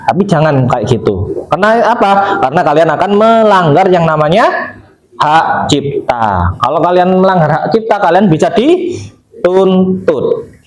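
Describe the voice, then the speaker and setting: A male teacher giving a classroom lecture, explaining step by step and asking and answering his own questions. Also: on the high side. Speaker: vocational school teacher. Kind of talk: classroom lecture with screen demonstration. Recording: roomy echo.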